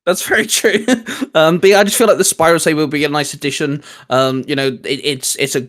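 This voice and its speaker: A man talks as a co-host in a casual discussion between fans. His voice sits a little high for a man. He speaks conversationally, explaining his opinions. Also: steady tone, fast, fairly bright tone.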